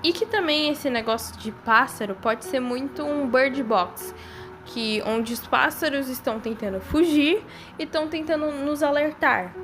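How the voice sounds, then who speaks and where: A woman's voice: high voice, unhurried, background noise. A young woman with a Brazilian accent giving casual, speculative commentary on a horror series in an informal, conversational way. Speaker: video creator. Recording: voice-over with music behind it. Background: background music.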